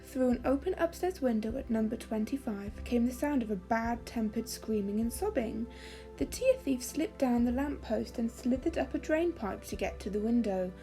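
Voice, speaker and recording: Woman reading a kids bedtime story, soft-edged mellow tone, a bit high, measured and expressive. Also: soft background music. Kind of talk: calming children's story reading.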